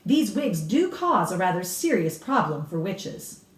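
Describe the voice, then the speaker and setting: A woman's voice: lowish voice, very animated, unhurried. A woman voicing a blunt, authoritative elderly grandmother from a storybook, explaining with emphatic relish and a snappish edge. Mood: instructive, relishing. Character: cigar-smoking old grandmother, authoritative, blunt, relishing grisly witch lore. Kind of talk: storybook read-aloud character. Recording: roomy echo, distant microphone.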